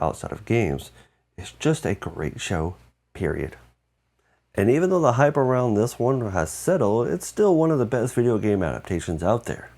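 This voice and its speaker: Low-voiced man with lively, swinging intonation. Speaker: host of a video game and film review show. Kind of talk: semi-scripted review narration.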